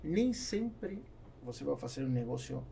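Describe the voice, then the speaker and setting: Gravelly man, halting speech. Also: mid-range voice, big pitch swings, dark tone, a little hiss or hum. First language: Spanish. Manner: halting, searching for words. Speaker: podcast guest, businessman. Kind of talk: conversational interview answers. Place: small foam-lined podcast studio. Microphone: studio microphone on boom arm.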